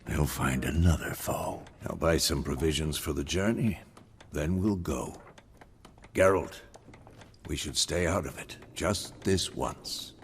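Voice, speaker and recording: A man playing a seasoned older monster hunter in a fantasy game, a calm wry mentor with a gravelly, terse delivery. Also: a bass voice, slight background noise. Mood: wary. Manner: terse, measured instructing. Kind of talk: video game dramatic acting.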